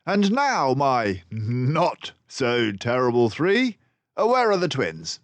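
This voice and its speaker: A man voicing a stern, calm mouse detective with a formal, declamatory manner in a storybook. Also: medium pitch, takes it slowly, no echo, pitched above the performer's own voice. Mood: smug, teasing.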